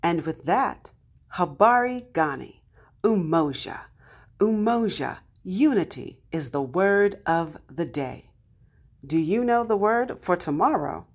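A woman hosting as a storyteller, reading a folktale expressively and deliberately, with dramatic pauses and drawn-out words. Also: fairly low pitch, animated. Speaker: host and storyteller.

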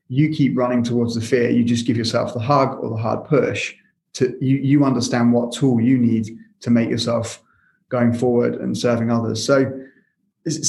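A man's voice: mid-range voice, vocal fry, a little mellow. A man hosting a podcast, encouraging and restating his guest's points before asking questions. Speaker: podcast host. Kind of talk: interview questions. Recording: roomy echo.

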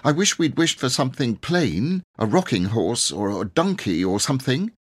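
Man voicing a fretful little girl, pitched up. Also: big pitch swings, normal speed, slightly rough. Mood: worried. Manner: worried, plaintive. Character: anxious, fretful young Edwardian girl.

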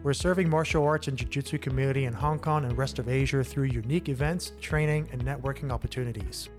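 A man in an upbeat voice delivers a short scripted opener for a podcast. Clear guitar music plays behind him. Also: medium pitch, fairly even intonation, fast. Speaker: company founder giving a show opener. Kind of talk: scripted promo intro.

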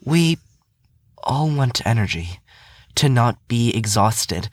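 Tired, practical young male patient for audio drama, played by a man: slow, measured, dry close sound. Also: medium pitch, animated, dry recording. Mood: earnest.

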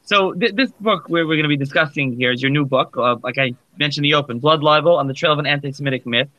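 A man hosting a casual live interview podcast, speaking in an informal, halting and loosely phrased way. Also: on the high side, sharp tone, no echo, lo-fi sound. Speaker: podcast host.

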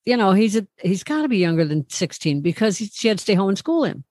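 A woman's voice: medium pitch, big pitch swings, fast, darkish tone, no room sound, clean studio sound. A middle-aged woman co-hosting a casual podcast, telling rambling stories and teasing her co-host. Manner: chatty, rambling storyteller, teasing. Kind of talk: casual chat.

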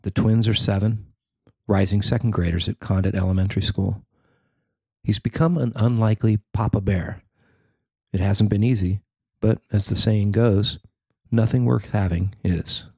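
A man narrating a newspaper feature he wrote, in a measured, even reading. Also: fairly low pitch, gravelly, breathy edge, no echo. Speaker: newspaper columnist reading his story. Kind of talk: scripted narration.

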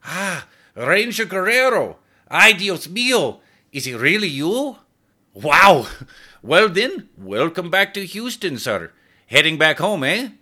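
A man voicing a chatty, excitable middle-aged cab driver with a thick Spanish accent. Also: mid-range voice, a little slow, voice pushed up. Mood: excited. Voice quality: thick accent, eager and talkative.

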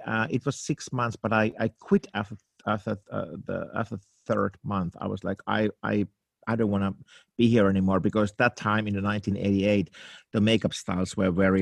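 A man in his fifties with a Finnish accent speaks English as a second language, pausing and restarting as he reaches for words. His voice has a gravelly rasp, and the recording is dry and close. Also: on the low side, dry recording. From Finland. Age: 50s. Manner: halting, searching for English words with many fillers and restarts. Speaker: makeup artist guest. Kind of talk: casual interview answers.

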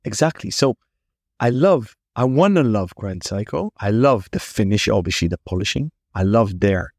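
A man's voice: lowish voice, expressive, moderate pace, a little mellow, no echo, clean studio sound. A man with a Dutch accent hosting a podcast, asking eager questions in casual interview chat. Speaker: podcast host.

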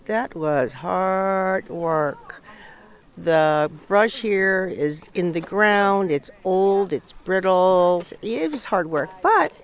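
A woman's voice: fairly low pitch, very slow, smooth. An older woman from Hawaii, a retired volunteer talking informally in an on-site interview. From Honolulu, Hawaii.

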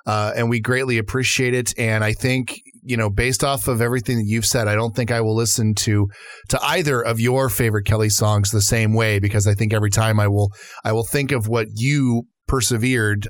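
A man's voice: mid-range voice, fairly even intonation, fairly quick, no room sound, studio quality. A man co-hosting a podcast, chatting casually and welcoming guests. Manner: friendly, chatty interviewer. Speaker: podcast co-host.

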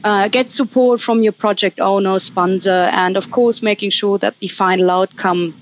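A woman from Germany, a project manager, giving earnest, measured career advice as a podcast interview guest. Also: mid-range voice, steady tone, muffled recording, slight background noise. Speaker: project manager at a recruitment firm.

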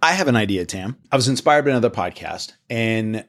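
A man's voice: lowish voice, expressive, a little fast, a bit bright. A man co-hosting a podcast with his wife, talking casually with enthusiasm, rambling and laughing at himself. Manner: rambling, self-deprecating, enthusiastic. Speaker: podcast co-host, TV host, motivational speaker. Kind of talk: casual married-couple chat.

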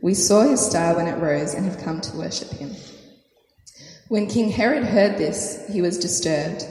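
A woman preacher with a croaky, hoarse voice from a sore throat, praying softly with many fillers, then reading scripture and preaching. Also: fairly low pitch, a little slow. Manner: soft, hesitant prayer with many fillers. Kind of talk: sermon with prayer and scripture reading. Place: church hall. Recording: echoey hall, faint noise.

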